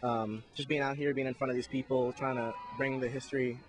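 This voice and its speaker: A man giving an informal, earnest, halting explanation as a festival squire, recorded outdoors. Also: mid-range voice, little pitch movement. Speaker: jousting squire and backstage crew member at a Renaissance festival. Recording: noisy outdoor location recording.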